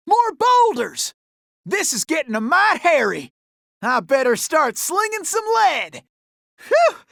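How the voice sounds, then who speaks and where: An actor voicing a cocky, folksy raccoon gunslinger with a Southern cowboy drawl for an animated video game. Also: male voice, very high voice, creaky, dry recording, studio quality, exaggerated voice. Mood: excited, whooping. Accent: American Southern cowboy drawl. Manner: folksy, excitable, exclamatory cowboy slang. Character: cocky, folksy cartoon Old West raccoon gunslinger, male.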